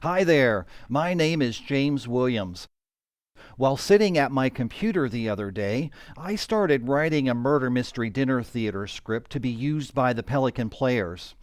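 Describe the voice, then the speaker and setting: A man's voice: mid-range voice, lively intonation, normal speed, no echo. Man introducing his work, dry close recording. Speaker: playwright. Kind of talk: prepared personal introduction.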